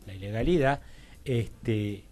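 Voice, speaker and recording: A man with an Argentine Rioplatense accent, speaking as an interview guest in a halting, digressive way and often checking for agreement. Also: fairly low pitch, dark tone. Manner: halting, digressive, seeks agreement with frequent tag questions. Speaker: interview guest, social researcher. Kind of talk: informal radio interview explanation. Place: radio studio.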